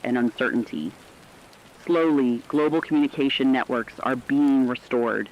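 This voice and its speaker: A man playing a stern, resolute war correspondent who delivers scripted radio news in a formal, measured, earnest way. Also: medium pitch, lo-fi sound, lightly acted. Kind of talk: scripted dramatic news narration.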